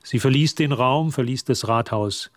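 A man's voice: medium pitch, moderate pace, no room sound, studio quality. A man reading scripted literary narration for a German radio drama. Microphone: close, dry studio microphone.

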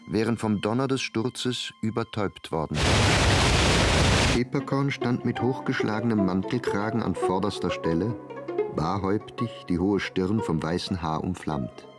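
Low-voiced male literary narrator over music. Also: unhurried. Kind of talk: scripted literary narration in radio play. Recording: prominent music behind narration.

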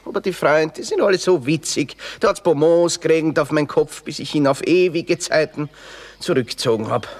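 Casting: witty, bitter young Austrian drifter, higher-pitched male voice, florid theatrical delivery with dry asides, radio comedy. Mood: self-pitying, theatrical. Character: witty, bitter red-haired young drifter, grandiloquent and sardonic. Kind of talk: classic Viennese stage comedy radio acting.

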